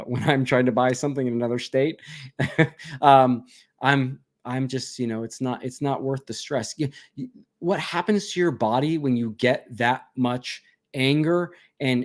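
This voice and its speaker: Chatty man reading out viewer questions. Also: medium pitch. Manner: reads chat questions aloud and answers chattily, laughing often. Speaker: livestream host answering viewer questions. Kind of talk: casual live Q&A chat.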